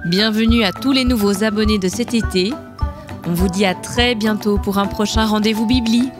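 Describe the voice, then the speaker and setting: A woman's voice: mid-range voice, fairly even intonation, moderate pace. Woman reading a children's story over music. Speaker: storybook narrator and channel host. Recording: music bed under the voice.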